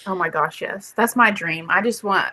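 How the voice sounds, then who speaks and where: Woman, 30s, podcast guest: casual, bright tone, trails off, frequent laughs, dry close mic. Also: lowish voice, moderate pace, no room sound. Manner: chatty, laughs often, trails off. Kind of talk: casual interview chat.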